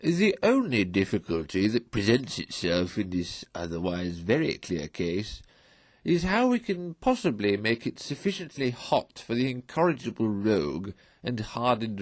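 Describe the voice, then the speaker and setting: A man's voice: medium pitch, darkish tone, dry recording. A man voicing a cheerful, pompous, merciless court chairman in a storybook reading, declaiming with relish. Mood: gleeful, stern. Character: cheerful, pompous, merciless male magistrates' chairman passing sentence. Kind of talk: storybook character voice in a read-aloud.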